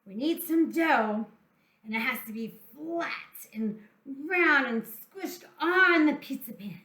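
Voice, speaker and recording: A woman's voice: high-pitched, lively intonation, slow, pushed and bright, roomy sound, full character voice, rough recording. A woman voicing a cheerful, polite little red hen puppet with a childlike, eager manner for a children's storytime. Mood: eager, friendly.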